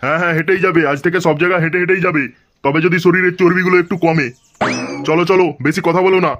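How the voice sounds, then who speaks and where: Man voicing a high-pitched brash cartoon dad. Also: steady tone, very fast, fairly bright tone, muffled recording, slight background noise. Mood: scornful, bossy. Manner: crude, sarcastic, blustering village-comedy banter. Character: brash, stingy, flirtatious middle-aged Bengali husband and father. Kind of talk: comic cartoon dialogue.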